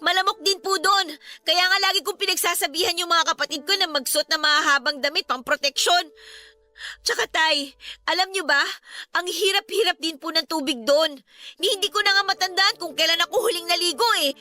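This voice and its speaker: A woman's voice: very high-pitched, fairly quick, bright, forceful tone, faint music behind. A woman playing a respectful, chatty young daughter in a Filipino radio drama, weary yet playful. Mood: weary, complaining.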